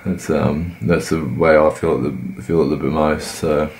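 A man, croaky from a cold, giving laid-back, rambling voice-over commentary on his workout. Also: rumbling low, level tone, measured pace, darkish tone, slight background noise. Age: 20s. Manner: laid-back, rambling, many fillers and restarts. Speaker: fitness vlogger.

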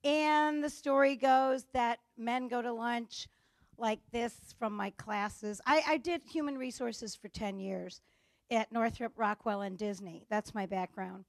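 A woman with a Chicago accent giving a humorous conference talk on gender differences, telling stories and acting out dialogue with lively delivery. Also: on the high side, dry recording. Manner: lively storytelling with acted-out dialogue. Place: conference stage before an audience.

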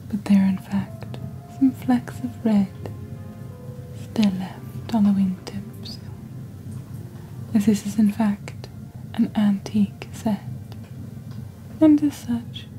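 A young woman playing a genteel witch shopping-channel host in soft-spoken ASMR, with a breathy near-whisper and a deliberate, gently formal delivery. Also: medium pitch, faint music behind, natural voice, poor audio. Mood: soft, soothing. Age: young adult. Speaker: ASMR performer.

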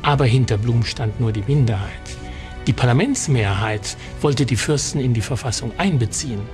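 A man speaks as a history expert in a documentary, explaining in a mid-range voice. Soft music sits faintly behind him. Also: mid-range voice, normal speed.

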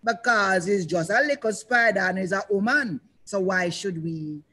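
An older woman leads a panel discussion over a video call. Her voice sits at a middle pitch, and she speaks in a reflective, measured way, pausing and restarting as she shapes each thought. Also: pushed and bright, husky. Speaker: panel moderator. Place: indoors, in front of a banner.

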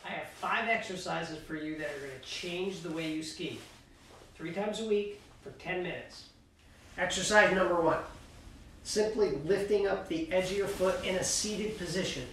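A middle-aged man talks as a ski coach, walking through foot and boot mechanics with steady cues. His voice sits a little high with some gravel in it, and it bounces around a hard, roomy workshop. He sounds well off the mic, with a faint hum of room noise under him. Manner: explains calmly with coaching cues while demonstrating. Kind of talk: instructional demonstration. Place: cluttered indoor ski workshop. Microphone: far camera. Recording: echoey room, mic far off.

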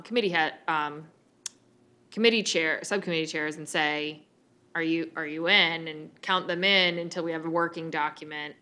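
A woman in her thirties deliberating at a public meeting, thinking aloud in a halting way. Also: sits low, pushed and bright. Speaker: school board member. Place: public meeting room, indoors.

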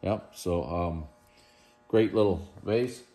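A man's voice: low-pitched, takes it slowly, dark tone. A middle-aged man presenting auction lots in a casual, enthusiastic way, recorded on a handheld camera in a large warehouse room. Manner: enthusiastic, chatty, praises pieces. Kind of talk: casual walkthrough of auction items.

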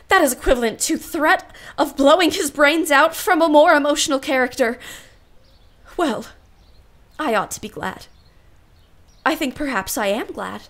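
A woman's voice: sits high, a bit bright. A woman playing a proud, wry, refined aunt in a period radio play, with formal, precise diction.

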